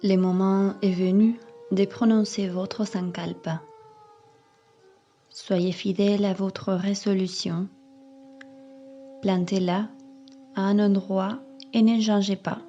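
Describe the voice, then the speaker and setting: A woman yoga teacher calmly leading a guided meditation in measured, instructing phrases. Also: medium pitch, highs cut off. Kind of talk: slow guided meditation narration.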